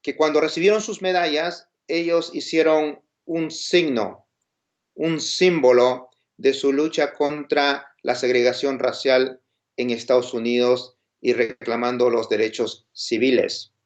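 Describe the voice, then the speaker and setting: A man's voice: medium pitch, fairly even intonation, unhurried. A man with a Peruvian Spanish accent giving an informal, explanatory lecture, posing questions and checking in with his listeners. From Peru. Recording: dull, lo-fi sound.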